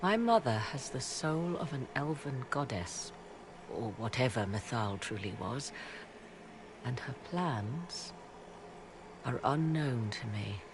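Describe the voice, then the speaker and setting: A woman voicing an aloof, proud witch who speaks in a cool, measured, dryly ironic way. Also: rumbling low, animated, background noise, full character voice.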